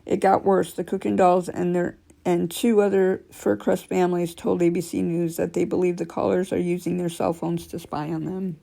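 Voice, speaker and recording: Woman with a low, scratchy voice. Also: level tone, normal speed, vocal fry, few pauses, warm tone. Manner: stumbles and corrects herself while reading. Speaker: storyteller reading true creepy stories. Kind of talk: reading aloud with casual asides.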